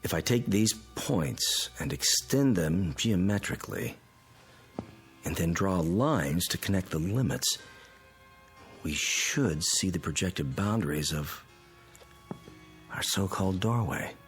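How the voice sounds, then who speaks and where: Analytical scientist, low voice, very expressive pitch, deliberate explaining, radio drama, music behind. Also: male voice, full character voice. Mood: musing, methodical. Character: calm analytical male physicist friend explaining a mystery. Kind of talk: modern radio drama acting.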